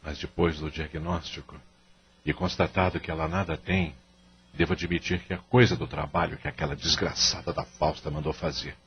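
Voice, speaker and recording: A man's voice: very deep voice, flat delivery, normal speed, slight background noise. A man playing an anguished, guilt-ridden middle-aged husband and father in an audio drama. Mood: grave, bitter. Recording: muffled audio drama recording with music.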